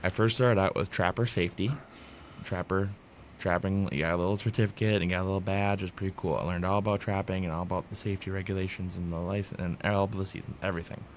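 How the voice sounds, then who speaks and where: A man with a very deep, low voice co-hosting a casual podcast. He reads points from a list in a plain, steady way and tosses in quick agreement, with a faint hiss under the recording. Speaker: podcast co-host. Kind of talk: casual chat, reading a list aloud.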